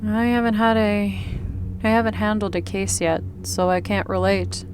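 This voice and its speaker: A woman's voice: mid-range voice, level tone, moderate pace, lightly acted. A woman voicing a polite, reserved rookie police officer in a visual novel, plain and a little hesitant. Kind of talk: visual novel dialogue read aloud. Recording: clear background noise.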